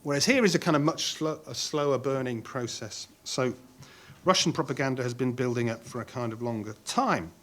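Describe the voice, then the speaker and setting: A middle-aged man speaking on a conference panel in a measured way, pausing with frequent ums and restarting phrases. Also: medium pitch, fairly bright tone.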